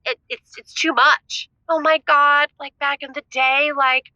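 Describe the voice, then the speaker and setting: A woman playing a frazzled, hot-tempered caller on an improvised comedy show, abrupt and quick to yell. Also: sits high, flat delivery, dry recording, highs cut off, full character voice. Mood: exasperated. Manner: volatile, abrupt switches to yelling. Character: frazzled, hot-tempered woman, a spin fanatic with a clingy partner.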